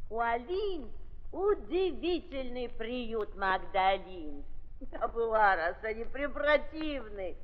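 An imperious old countess, blunt and fondly mocking, drawling her emphatic words with lively swoops. The 1930s radio play recording is dull and echoes like a hall. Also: fairly high pitch. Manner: drawling emphasis, peppers speech with French. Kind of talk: 1930s theatrical radio acting.

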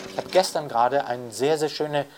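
A man's voice: medium pitch, fast, noisy background. Man explaining ideas with emphatic tags. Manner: emphatic, often adds a checking tag question. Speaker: scholar interviewed on Adorno. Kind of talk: conversational scholarly explanation.

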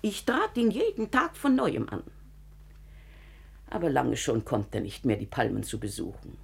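An actress playing a devoted, excitable household companion in a classical German radio drama, with declamatory, emphatic storytelling. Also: female voice, very deep voice, normal speed, creaky, slightly rough, exaggerated voice. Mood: dramatic, troubled.

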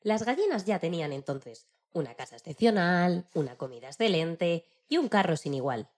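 A woman narrating a children's story in an expressive storytelling style. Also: on the low side, normal speed.

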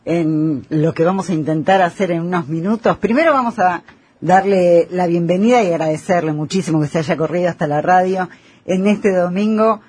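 A man's voice: high voice, normal speed, darkish tone, slightly rough. A man with an Argentine accent hosting a conversational radio interview. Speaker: radio host.

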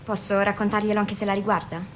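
Paziente provocante, interpretata da un'attrice, registrazione rumorosa. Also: female voice, flat delivery, lightly acted. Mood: provocative, insinuating. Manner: insinuating, deliberate, pausing. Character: provocative, teasing female patient, bold and probing. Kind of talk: radio drama acting. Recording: noisy radio recording with low rumble.

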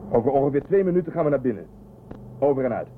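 An actor playing a decisive space commander in a science fiction audio drama, brisk and authoritative. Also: male voice, mid-range voice, lively intonation, lightly acted. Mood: brisk, businesslike. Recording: narrow, noisy lo-fi radio drama sound.

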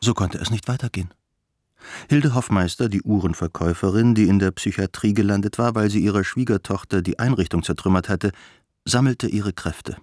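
A man's voice: fairly low pitch, a little fast, a little mellow, no echo, studio quality, lightly acted. A man narrating a scripted radio play as a wry, literary storyteller. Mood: wry narration. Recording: music behind the voice.

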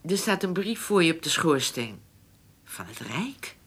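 An older woman playing a farmer's anxious, gentle elderly mother in a radio drama, hesitant and fretful. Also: sits low, very animated, measured pace, husky, full character voice. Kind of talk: Dutch radio drama acting.

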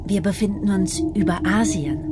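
A woman's voice: mid-range voice, normal speed, over loud music, natural voice. A woman playing a servile, eager and apologetic airship stewardess in a radio play, polite and flustered. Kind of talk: German radio play dialogue.

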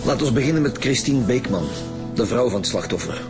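An actor with a Belgian Dutch accent playing a businesslike, dry-witted chief homicide detective in a radio drama, matter-of-fact and probing. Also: male voice, mid-range voice, animated, fairly quick, over music, lightly acted.

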